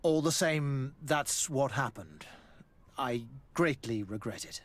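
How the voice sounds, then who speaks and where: An actor playing a courteous, matter-of-fact military doctor and scholar in a video game, speaking formally and precisely. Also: male voice, medium pitch, lively intonation, takes it slowly. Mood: regretful, matter-of-fact. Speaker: army doctor. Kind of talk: video game dialogue acting.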